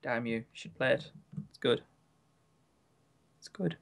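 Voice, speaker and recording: A man's voice: mid-range voice, measured pace, gravelly, fairly bright tone. A man chatting casually as a podcast co-host, emphatic and enthusiastic, often joking. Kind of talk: casual podcast chat reviewing games.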